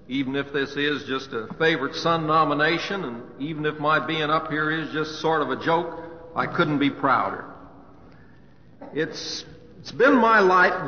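An actor playing a humble, affectionate middle-aged American cowboy humorist with homespun, hesitant phrasing in an old radio drama. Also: male voice, a little high, close to an everyday voice. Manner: homespun, drawling folksy phrasing with gentle hesitations. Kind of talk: 1950s radio drama acting. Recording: muffled old radio recording, hiss, long reverb.

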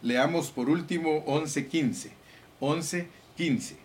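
A man's voice: a little high, drawn-out words, slight background noise. A man preaching a sermon with fervor, calling his listeners brothers and reading scripture aloud. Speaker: pastor.

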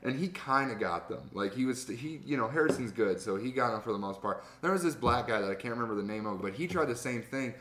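A man, a stand-up comedian, telling rambling, profane stories from his early sets in a casual chat, with a very loud voice. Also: medium pitch, expressive, fast, hardly any pauses. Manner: rambling storyteller, profane, laughs often. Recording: roomy echo.

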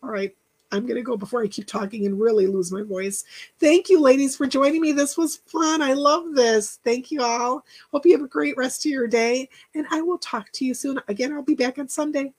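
A woman hosting a casual live chat, rambling and encouraging, thanking viewers by name, with a stuffy nose and a voice that is going hoarse. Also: high voice, a little fast, darkish tone. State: losing her voice. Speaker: podcast host.

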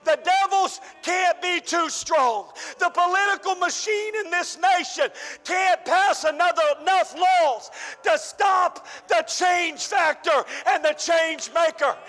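Male pastor, fervent shouting preacher, strained voice, bright edge, echoing church hall. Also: very high-pitched, slow. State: losing his voice. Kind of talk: sermon.

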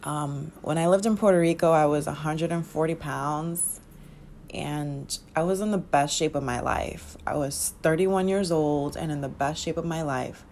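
A woman in her thirties hosting a casual solo podcast, relaxed and chatty with many fillers and soft laughs, her voice sounding sleepy. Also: low-pitched, normal speed, a little hiss or hum. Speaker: podcast host.

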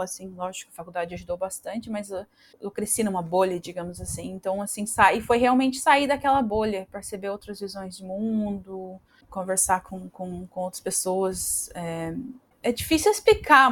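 A Brazilian woman in her thirties, a podcast guest talking casually, with many fillers and restarts. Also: mid-range voice. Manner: chatty, frequent fillers and restarts. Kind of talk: casual interview conversation.

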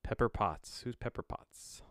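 A man hosting a solo podcast, chatting in a rambling, halting way, often backtracking mid-sentence with a bemused, self-deprecating manner. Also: a bit bright, dry recording, clean studio sound. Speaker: podcast host.

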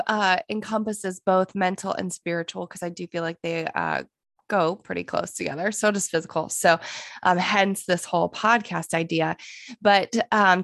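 A woman's voice: medium pitch, no echo, studio quality. A woman in her thirties hosting a fitness podcast, talking casually and a bit nasally from a cold, rambling with fillers. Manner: chatty, rambling with fillers and restarts. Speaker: podcast host, fitness coach.

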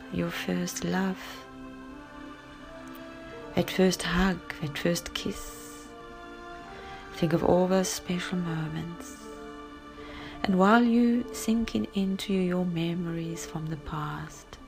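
A woman with a low-pitched, creaky voice guides a relaxing bedtime story. She speaks softly and very slowly, pausing often and slipping toward a whisper. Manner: gentle, hushed, halting with long pauses. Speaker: narrator of guided sleep story. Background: music.

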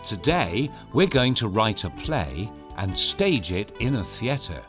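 A man tells a story to children in a playful, theatrical manner. His pitch swings widely and he takes his time explaining, with music clearly behind him. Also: very animated, takes it slowly. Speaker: host and reader of a children's story show.

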